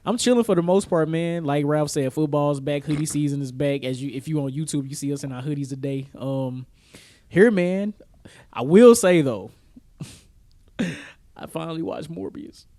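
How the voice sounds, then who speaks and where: A man's voice: fairly high pitch, moderate pace, dark tone. A man co-hosting a podcast in casual chat, with an informal, slangy, easygoing way of talking. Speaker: podcast co-host. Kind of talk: casual chat about pop culture.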